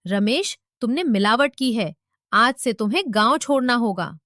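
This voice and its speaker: Woman voicing accusing villagers, high-pitched. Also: lively intonation, unhurried, a bit bright, dry recording, studio quality. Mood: angry. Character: angry accusing crowd of villagers. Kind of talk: cartoon story narration.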